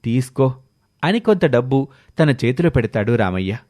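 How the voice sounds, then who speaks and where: A man's voice: mid-range voice, very animated, a little fast, darkish tone, dry recording, clean studio sound. A man narrating a scripted moral story as a storyteller.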